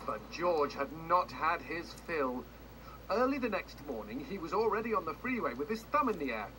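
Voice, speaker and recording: Swooping, macabre game storyteller, noisy audio. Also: male voice, on the high side, big pitch swings, moderate pace. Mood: ominous, wry. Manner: dramatic, tongue-in-cheek. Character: wry, macabre male storyteller reading a grim tale. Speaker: storybook narrator in a video game. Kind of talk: theatrical storybook narration.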